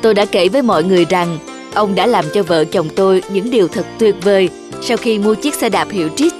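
Friendly cartoon customer, female performer: mid-pitched, courteous and thankful, music underneath. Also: fairly quick, natural voice. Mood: grateful. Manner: appreciative, polite. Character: grateful, friendly adult female bike shop customer. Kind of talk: cartoon dialogue.

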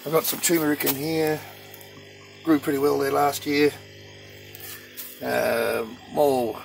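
A man giving a casual, halting tour of his backyard garden, filmed on a handheld phone outdoors. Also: a little high, gravelly, background noise. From Australia. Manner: slow, halting, with frequent ums and uhs. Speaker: gardening channel host.

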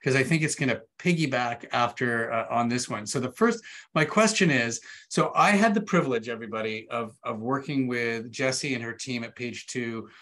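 A man's voice: mid-range voice, normal speed, sharp tone. A man in his forties hosting a webinar over a video call, talking in an informal, conversational way. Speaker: webinar host. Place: indoor room with bookshelves, on a video call. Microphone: video call microphone.

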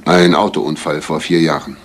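An actor playing an earnest married man making a confession in a measured, formal way, with a husky voice, in a crime radio play. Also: extremely low pitch, fairly even intonation. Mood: earnest, restrained.

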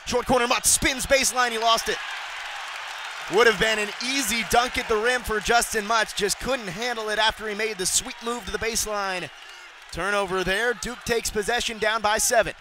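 A young man calling radio basketball play-by-play, rattling off plays and shouting on big moments. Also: sits high, crowd noise, background noise. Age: young adult. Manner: rapid excited calls, shouting on big plays. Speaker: play-by-play announcer.

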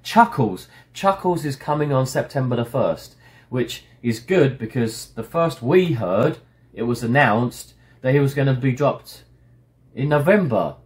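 A middle-aged man and toy shop owner, hoarse from illness, chatting casually to his customers with lots of fillers and restarts, filmed by a desk camera in a stockroom. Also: mid-range voice, expressive, a little slow, a little mellow. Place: indoor stockroom full of toy boxes.

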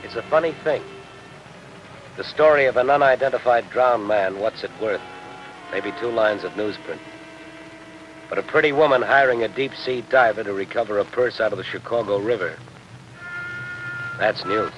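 An American actor playing a world-weary newspaper reporter in a 1950s crime drama, speaking in terse, clipped phrases. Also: male voice, on the low side, moderate pace, long pauses, dull audio, natural voice. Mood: wry, musing. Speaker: lead actor. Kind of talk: 1950s crime drama acting, hard-boiled narration. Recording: 1950s TV film soundtrack, loud music.

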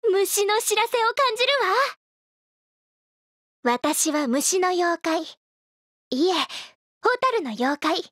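A woman voicing a cute, spirited young girl firefly youkai for a mobile game, with a perky, expressive delivery. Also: very high voice, slow pace, long pauses, dry recording, studio quality, full character voice. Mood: playful pride. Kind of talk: Japanese mobile game character voice lines.

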